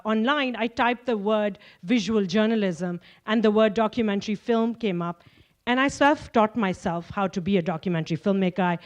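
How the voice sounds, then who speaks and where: Woman in her forties, from Pakistan, forum keynote, measured, earnest storyteller, slightly high voice. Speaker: guest speaker, filmmaker. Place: stage at a forum.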